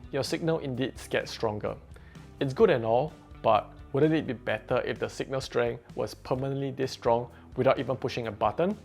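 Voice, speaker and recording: A man reviewing a headset in a plain, measured, explanatory way, as a scripted voice-over. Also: medium pitch, creaky. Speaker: tech reviewer. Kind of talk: scripted product review narration.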